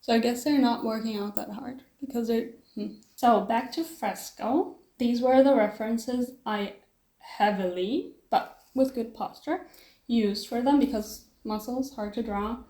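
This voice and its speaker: A woman's voice: fairly high pitch, unhurried, mellow, echoey room. A young woman with a German accent, a non-native English speaker, casually talking over her art process with a chatty, self-deprecating manner and little laughs. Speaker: artist vlogger.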